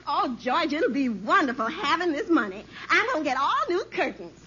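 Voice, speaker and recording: An excitable, money-happy wife in a vintage radio sitcom. Her high, bright voice gushes and exclaims, on a muffled old recording. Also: moderate pace, a little hiss or hum, exaggerated voice. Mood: delighted. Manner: gushing, exclaiming. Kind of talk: 1950s radio sitcom acting.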